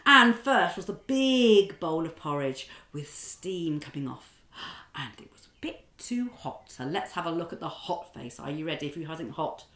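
A middle-aged woman leading a children's drama class and telling a story in an animated, expressive, encouraging way. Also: sits low, unhurried, reverberant.

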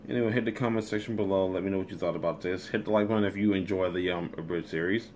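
A man's voice: deep voice, fairly even intonation, rapid-fire, a little mellow, a little hiss or hum. A man in his thirties hosting a casual reaction video from a small room at home.

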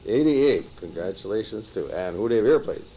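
A man's voice: lowish voice, lively intonation, fairly quick, lo-fi sound, a little hiss or hum. A man in his sixties, a videographer, moderating an oral history session with brief upbeat acknowledgments. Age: 60s.

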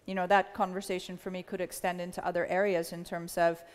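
A middle-aged woman with a fairly low voice talks on a panel in a large hall, and the long reverb trails after her words. She speaks in a measured way, pausing on fillers and restarting her sentences. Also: level tone. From United States. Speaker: panelist, company executive. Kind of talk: panel discussion. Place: large hall with a live audience.

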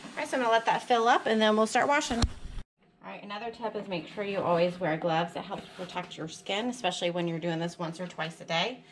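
A woman narrates her cleaning routine in a home kitchen, practical and unhurried, with the odd "um" as she works. Her voice sits at an ordinary middle pitch. Loud running water from the sink fills the background. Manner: plain, practical, talks while working with fillers. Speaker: homesteader showing her routine. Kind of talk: casual how-to narration. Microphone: camera mounted above the sink. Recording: running water noise behind.

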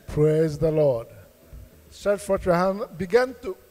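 Slow, fervent pastor praying over families. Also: male voice, high voice, expressive, dark tone, big-room sound. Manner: slow, fervent blessing prayer. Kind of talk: church service prayer and welcome. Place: sunlit church hall. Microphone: handheld microphone.

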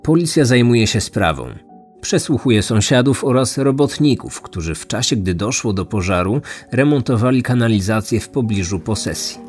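A man's voice: medium pitch, animated, moderate pace, husky. A man narrating a scripted true crime story as the host of a podcast. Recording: music behind the voice. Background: background music.

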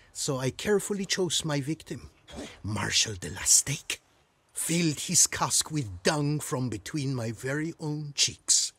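An actor voicing a roguish, swaggering prison inmate in a video game, theatrical and chatty. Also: male voice, medium pitch, fairly bright tone, slightly rough, exaggerated voice. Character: cocky, roguish male jailbird, self-styled prison king.

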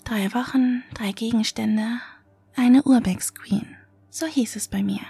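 An actress playing a wary, indignant young female survival contestant in a horror audio drama, with a breathy voice. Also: a little high, fairly even intonation, measured pace, warm tone, no room sound, soft background music, full character voice. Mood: guarded.

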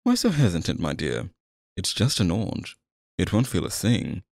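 A man acts out a sinister male stage magician in an ASMR roleplay, courtly on the surface and possessive underneath. His lowish voice swoops expressively through theatrical, coaxing phrases. The sound is dry and close, with no room around it. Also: big pitch swings, moderate pace, a little mellow, no room sound, full character voice. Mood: suave, showy.

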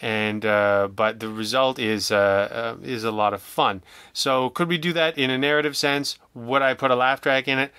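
Bright, clear-voiced man chatting haltingly. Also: medium pitch, no echo. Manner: hesitant, halting, rambling around his thoughts. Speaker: audio drama creator, interview guest. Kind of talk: casual podcast conversation.

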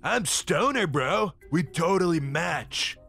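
A man's voice: on the high side, expressive, takes it slowly, creaky, sharp tone, faint music behind, full character voice. A man playing a laid-back, goofy stoner crewmate who talks in surfer slang in a cartoon. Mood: mellow amazement. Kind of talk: animated web cartoon acting.

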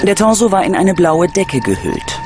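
A man's voice: sits high, big pitch swings, normal speed. A man narrating a true-crime documentary from a script in a dramatic, suspenseful manner. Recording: prominent music under the voice. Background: music.

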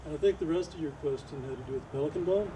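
Older male panelist, measured delivery, recorded from afar outdoors with background noise. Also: a little high. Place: outdoor evening event. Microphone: handheld microphone. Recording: distant camera audio, noisy outdoors.

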